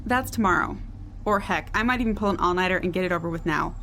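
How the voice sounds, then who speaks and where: A woman's voice: lowish voice, fast talker, fairly bright tone, slight background noise. A woman playing a weary, blunt spaceship technician in a naturalistic science fiction audio drama. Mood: tired, guarded.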